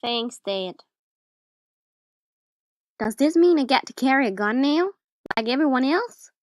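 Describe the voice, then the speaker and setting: A woman voicing an eager, excitable seven-year-old boy in an amateur comic dub. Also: high-pitched, measured pace, no room sound, clean studio sound. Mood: hopeful.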